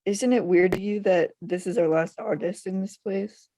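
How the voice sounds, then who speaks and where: A needling teenage friend voiced by a woman at a table read, with a middle-pitched voice. The delivery is teasing and pressing, in the plain manner of a script reading. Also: normal speed, mellow, lightly acted. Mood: curious, wry.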